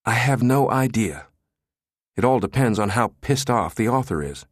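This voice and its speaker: A man's voice: fairly low pitch, animated, normal speed, no echo, clean studio sound, voice pushed up. A man voicing a crude, insolent computer systems chief in an audiobook, brash and sardonic, spitting out his words. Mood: exasperated. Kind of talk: audiobook character dialogue.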